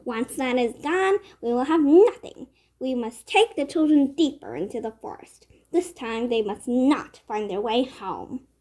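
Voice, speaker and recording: Woman acting a cold fairy-tale stepmother, scornful, bossy, soft-edged high voice. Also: animated, measured pace. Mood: cold, scheming.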